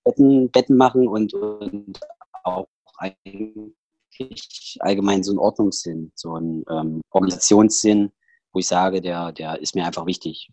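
A man's voice: low voice, darkish tone, slightly rough, no room sound. A man with a Saxon accent, a podcast guest talking casually over a headset, rambling with many fillers and restarts. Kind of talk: casual personal interview talk.

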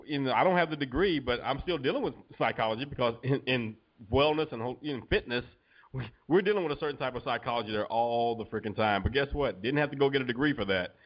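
A man's voice: mid-range voice, lively intonation, fast talker, dull audio. Blunt, jokey man on a podcast. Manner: blunt, jokey, laughs often. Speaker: podcast co-host, fitness coach. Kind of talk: casual podcast chat.

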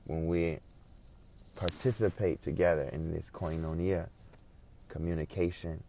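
A man with a very deep voice and a gravelly creak delivers an informal sermon. He sounds earnest and reflective, circling back over phrases to build on them. Some background noise sits behind his voice. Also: level tone, a little slow, mellow. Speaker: preacher.

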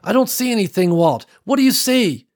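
Man voicing a brisk woman group leader. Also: high voice, big pitch swings, darkish tone, voice pushed up. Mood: alert. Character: steady young woman leading a group.

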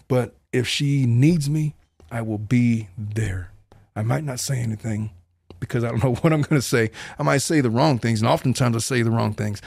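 A man's voice: medium pitch, creaky, a little mellow, dry recording, clean studio sound. A middle-aged man hosting his own podcast as a family law attorney, talking bluntly and earnestly in a long, rambling monologue.

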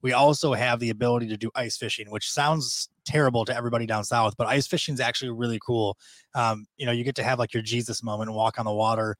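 A man's voice: mid-range voice, steady tone, quick pace, fairly bright tone, no room sound. A man in his thirties from Michigan, chatting casually as a podcast guest in a rambling, talkative way. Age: 30s. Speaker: guest angler.

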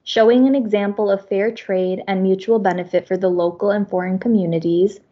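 A woman's voice: medium pitch, fairly even intonation. Woman reading an introduction, dull sound. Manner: measured, reading aloud. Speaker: podcast host.

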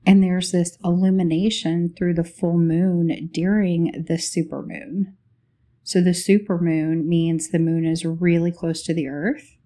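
A woman leading a guided spiritual meditation as host, speaking in short, unhurried phrases with long pauses. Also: on the low side, warm tone.

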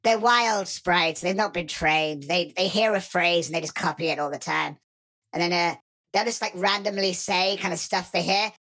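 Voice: medium pitch, moderate pace, bright, forceful tone, pitched above the performer's own voice. A game master voicing a laid-back male sprite who talks in slang and sounds exasperated. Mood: annoyed.

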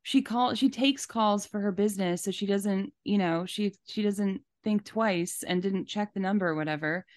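Female podcast co-host, mid-pitched, opinionated and indignant, conversational delivery with emphatic stress. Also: normal speed, few pauses. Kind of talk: casual opinionated discussion.

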